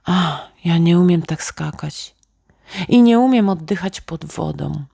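A woman's voice: on the low side, expressive, unhurried, creaky, dark tone, husky, no echo, studio quality, exaggerated voice. A woman voicing a dreamy, imaginative little boy who muses aloud in a bedtime storybook. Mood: wistful, resigned. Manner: musing, pondering aloud with hums.

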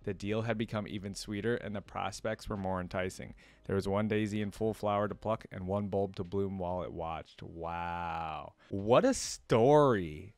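A man's voice: on the low side, steady tone, fairly quick, hardly any pauses, no room sound. A man in his twenties giving casual reaction commentary and reading story text aloud, close on a desk mic indoors. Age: 20s. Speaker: reaction video host. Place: indoor room at a desk with gaming chair.

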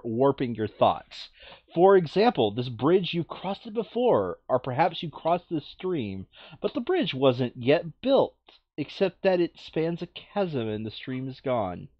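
A man casually playing through a board game, reading card text aloud and adding expressive, muttered asides. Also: fairly high pitch, no room sound, lo-fi sound.

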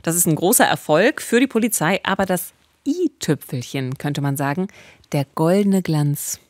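A woman's voice: lowish voice, animated, normal speed, darkish tone, no echo. A woman in her thirties co-hosting a true-crime podcast, narrating conversationally into a studio microphone. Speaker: podcast co-host. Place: small radio studio. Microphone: studio microphone with pop filter, headphones.

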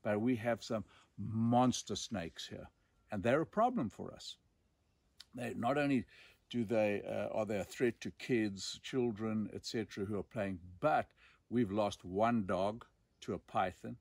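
A man in his sixties with a Zimbabwean accent tells stories emphatically, pausing and repeating words for dramatic effect. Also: on the low side, creaky, a little mellow, no room sound. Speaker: storyteller and host. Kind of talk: animated outdoor storytelling. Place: outdoors in dense bush.

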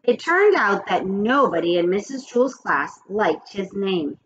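A woman in her forties, a teacher, reading a children's chapter book aloud in an expressive, animated way at a webcam in a small home office. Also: medium pitch, a little slow. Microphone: webcam at desk. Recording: dull lo-fi webcam audio.

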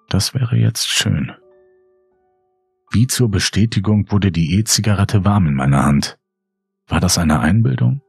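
A man with a husky, breathy voice reads a scripted horror story in a measured, suspenseful way with deliberate pauses. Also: low-pitched, no echo. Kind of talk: dramatic scripted audiobook narration.

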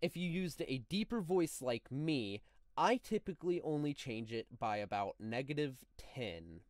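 A man narrating a step-by-step software tutorial in a deliberate, instructional manner, as a close, dry voice-over. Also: mid-range voice, animated, no echo. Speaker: tutorial host.

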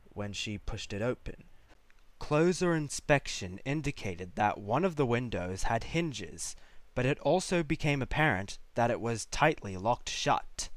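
A man's voice: medium pitch, dry recording. A man reading a piece of fiction aloud as a scripted story narration. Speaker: fan fiction reader.

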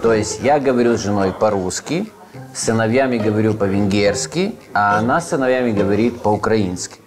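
A man's voice: lowish voice, a little fast. Thoughtful man, hesitant, over background music. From Uzhhorod, western Ukraine. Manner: thoughtful, hesitant, with frequent filler sounds and self-corrections. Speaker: guest, author. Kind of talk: relaxed conversational interview. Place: cozy indoor room full of wall clocks, seated at a table.

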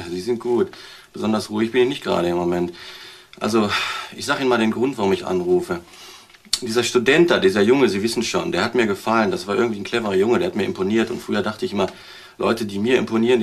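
An actor playing an edgy, street-smart burglar in a radio crime drama, talking in a blunt, wary, colloquial way. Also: male voice, fairly low pitch, fast, breathy edge. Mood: edgy, confiding. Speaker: petty criminal.

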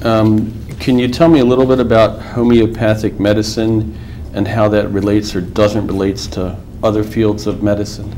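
Low-voiced lawyer, measured and formal. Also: male voice, little pitch movement, dark tone, slight background noise. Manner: measured, formal, with filler pauses. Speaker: attorney questioning a witness. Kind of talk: formal deposition questioning.

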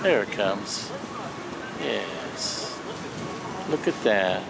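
A man in his sixties narrating a casual train travel vlog to a handheld camera, speaking haltingly in short phrases. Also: on the low side, expressive, vocal fry. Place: outdoors at railway station and train yard. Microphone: handheld camera at arm's length. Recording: noisy outdoor camcorder with traffic and trains.

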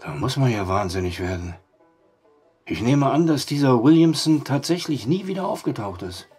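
An actor playing a gruff, sceptical war-veteran barkeeper in an audio drama, blunt, down-to-earth and husky. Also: male voice, medium pitch, expressive, normal speed, a little mellow, faint music behind.

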